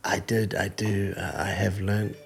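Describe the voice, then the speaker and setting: A man with a New Zealand accent, a fighter, answering interview questions in an earnest, halting way with many fillers. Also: sits low, level tone, creaky. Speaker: combat sport fighter, guest.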